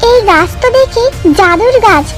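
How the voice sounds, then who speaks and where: A woman's voice: very high-pitched, expressive, moderate pace, faint music behind. A woman voicing a kind, simple-hearted mother fox in a children's cartoon, earnest and motherly. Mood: amazed.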